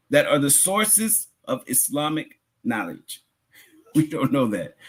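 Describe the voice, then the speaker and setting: Male preacher, Islamic lecture, husky voice, lively swooping intonation, explanatory, emphatic repetition, rhetorical asides. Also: medium pitch. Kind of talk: religious lecture, teaching.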